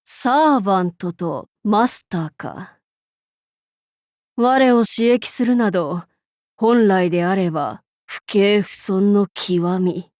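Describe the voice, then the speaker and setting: A woman's voice: mid-range voice, takes it slowly, a little mellow, no echo, clean studio sound, close to an everyday voice. A woman voicing an imperious, haughty demon-king warlord in a mobile game, speaking in pompous, archaic lordly Japanese phrasing. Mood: grand, imperious.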